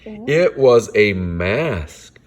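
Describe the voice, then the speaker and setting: Man reading aloud, very lively pitch. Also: extremely slow. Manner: playful, chatty asides to kids. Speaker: host and father reading with his children. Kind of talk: animated read-aloud of a children's storybook.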